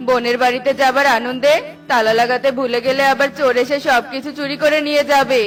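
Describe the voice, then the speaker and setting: A woman voicing an impatient, nagging male bird, a husband, in a cartoon, with a scolding, hurried manner. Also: high-pitched, little pitch movement, fairly bright tone, faint music behind. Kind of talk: cartoon voice acting.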